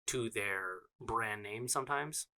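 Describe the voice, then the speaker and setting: Young man, bright tone, casual podcast banter, loud and profane. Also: medium pitch, slow. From United States. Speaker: podcast co-host. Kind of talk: casual chat.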